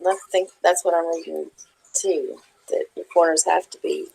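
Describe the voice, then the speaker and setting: A woman's voice: lowish voice, steady tone, normal speed, vocal fry, mellow, breathy edge, low-quality recording. A woman in a casual live chat, chiming in with short, supportive replies.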